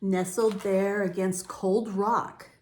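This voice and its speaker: A woman reading a picture book aloud to young children as a storytime host, with deliberate, drawn-out phrasing. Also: mid-range voice, little pitch movement, darkish tone.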